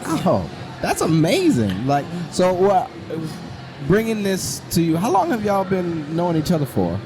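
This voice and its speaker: A man hosting a podcast interview, relaxed and chatty with short affirmations. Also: high voice, a little slow, over music. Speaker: podcast host.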